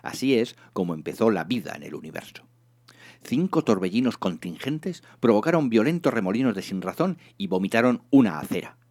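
A man narrating a comic story in a theatrical, expressive scripted reading. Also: lowish voice, a little fast. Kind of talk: dramatised comic audiobook narration.

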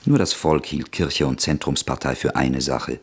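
A man narrating an old radio drama in a measured, sober tone. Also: extremely low pitch, husky.

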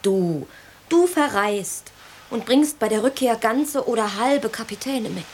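Coquettish woman, playful, vain, fairly high voice, radio play, faint noise behind. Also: lively intonation, a little slow, darkish tone. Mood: teasing. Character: vain, playful woman friend, teasing and forgiving. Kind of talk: 1990s German radio play acting.

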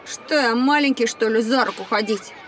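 A woman's voice: on the high side, moderate pace, far from the mic, some chatter behind, a little hiss or hum, close to an everyday voice. A woman playing a reckless, carefree schoolboy in an educational children's audio drama, breezy, boastful and dismissive. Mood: nonchalant.

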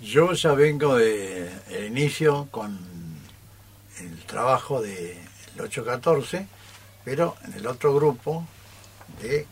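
Slow-talking man. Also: medium pitch, animated, slight background noise. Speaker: radio theatre troupe member. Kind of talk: informal live radio chat. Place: radio studio.

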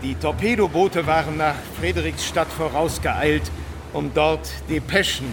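Fairly high-voiced voyage narrator. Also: male voice, a little slow, background noise. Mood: solemn. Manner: literary, flowing. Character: lyrical male naval eyewitness recounting a voyage. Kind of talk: scripted historical narration.

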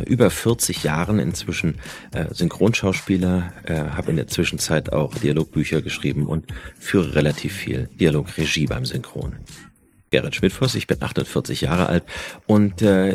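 A man in his forties, a dubbing actor and dialogue director, introducing himself in a halting way with frequent filler sounds. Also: deep voice, a little mellow, a little hiss or hum. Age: 40s. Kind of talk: self-introduction to camera.

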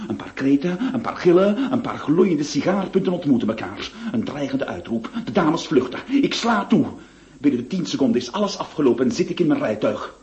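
An actor playing a panicky, flustered gentleman who stammers and exclaims in a Dutch-language radio play. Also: fairly high pitch, big pitch swings, fairly quick. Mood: agitated storytelling. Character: panicky near-sighted gentleman in trouble over a duel. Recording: dull lo-fi radio recording.

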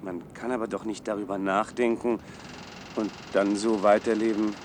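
An actor playing a naive, idealistic scientist in a German science-fiction radio play, questioning and earnest, with an unsettled manner. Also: male voice, fairly low pitch, little pitch movement, moderate pace, noisy background, lightly acted. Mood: dismayed. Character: naive, idealistic male scientist, troubled and well-meaning.

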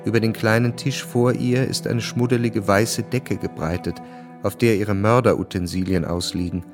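A man narrating a radio drama in a calm, measured way. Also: lowish voice. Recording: music bed under narration.

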